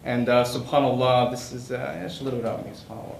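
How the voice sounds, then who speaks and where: A man's voice: medium pitch, flat delivery, fast talker, creaky. A man on a panel earnestly sharing his personal background. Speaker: panelist, army veteran. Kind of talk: personal testimony. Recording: echoey room, light noise.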